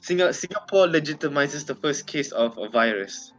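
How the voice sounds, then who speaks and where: A man's voice: on the high side, animated, moderate pace, fairly bright tone, muffled recording, soft background music. A young man with a Malaysian English accent, co-hosting a casual podcast chat and laughing often. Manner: giggly, laughs often between lines. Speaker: podcast co-host.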